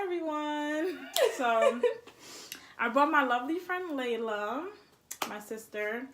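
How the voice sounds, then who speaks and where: A woman's voice: a little high, bright, forceful tone, slight background noise. A woman, chatting candidly and informally as a vlog host. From born in Haiti, immigrated to the United States at four. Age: early 20s. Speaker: vlog host, college student. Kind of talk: casual personal chat. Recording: echoey room.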